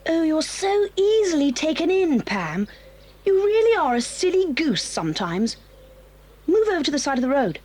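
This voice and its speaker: Whiny resentful schoolgirl, very high voice, lively pitch, audio drama. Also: normal speed. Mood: sneering. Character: spiteful whining schoolgirl, teenage. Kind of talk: 1980s audio drama acting.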